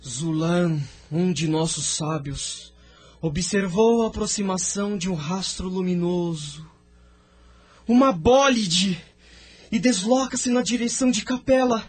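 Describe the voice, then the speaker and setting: An actor playing a solemn, sorrowful seer who recounts his world's past in a reverent, drawn-out manner for an audio drama. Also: high-pitched, husky. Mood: portentous.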